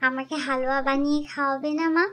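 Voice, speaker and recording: A woman's voice: high voice, steady tone, fairly quick, a bit bright. A woman voicing a wronged but forgiving young girl in a cartoon, plaintive and pleading.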